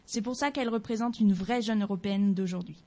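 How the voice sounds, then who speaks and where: A woman with a soft, mellow voice presents a scripted portrait segment in a local radio studio. She talks straight to the listeners in a chatty, friendly way, with playful asides. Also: medium pitch, brisk. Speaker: radio columnist.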